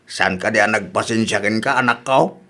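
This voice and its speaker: A man's voice: on the low side, normal speed, sharp tone. A man with an Ilocano Filipino accent acting an older village father counselling his daughter in a radio drama. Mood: concerned.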